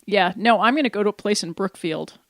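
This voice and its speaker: A woman's voice: medium pitch, brisk, no room sound, studio quality. A middle-aged woman co-hosting a community radio show, chatting casually, rambling and laughing often. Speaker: radio co-host. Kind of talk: casual chat. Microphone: studio microphone.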